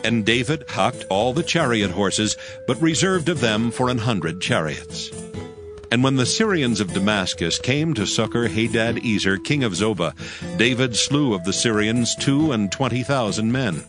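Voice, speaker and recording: A man narrating scripture from a script in a formal, measured storytelling manner. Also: medium pitch, expressive. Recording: music behind the voice. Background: music.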